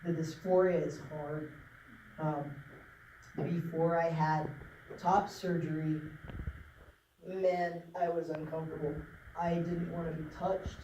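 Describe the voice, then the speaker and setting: A man from Nebraska telling his story to a group of young people in an informal talk, pausing often with lots of ums and restarts. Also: fairly high pitch, flat delivery, dark tone, highs cut off, slight background noise. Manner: halting, many ums and restarts. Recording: echoey room from a distance.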